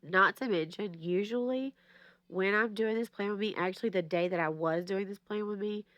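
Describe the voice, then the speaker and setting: A woman's voice: mid-range voice, normal speed, a bit bright, no echo, dull audio. A woman, chatting and rambling to her viewers while she plans, her voice a little nasal and stuffy from allergies. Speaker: planner vlogger. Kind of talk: casual rambling chat to camera.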